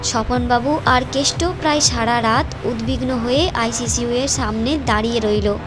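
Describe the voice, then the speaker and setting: A woman's voice: fairly high pitch, moderate pace, over music. A woman narrator reading a scripted story in a storytelling style.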